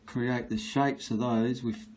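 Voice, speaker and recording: A man's voice: medium pitch, fairly even intonation, slow, a little mellow, slight background noise, rough recording. Chatty man teaching painting. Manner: chatty, blunt, mild swearing, talks while working. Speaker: painting tutor. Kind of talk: casual painting tutorial.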